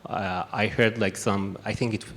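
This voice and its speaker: A man with a Persian accent speaking on a conference panel, halting, with many restarts and fillers. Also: fairly low pitch, fairly even intonation, a bit bright. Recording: echoey hall with faint noise.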